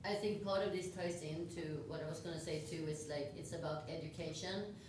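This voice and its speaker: A woman's voice: sits low, fairly even intonation, brisk. A woman from Sweden with a Swedish accent, a panelist in an earnest, chatty panel discussion. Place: event hall. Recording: echoey hall, distant mic, noisy.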